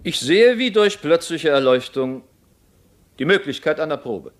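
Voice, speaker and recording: An actor in a radio play as a cold, insistent court schemer with formal, deliberate stage diction. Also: male voice, on the high side, expressive. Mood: intent, scheming. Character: calculating, guarded male court schemer, coldly insistent. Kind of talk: German radio play acting.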